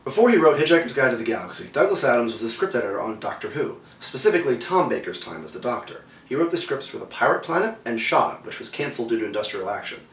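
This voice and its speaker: A man's voice: medium pitch, big pitch swings, fast, hardly any pauses, fairly bright tone. A man co-hosting a geek culture explainer, presenting partly scripted material in a casual way. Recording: roomy, distant mic, faint background noise.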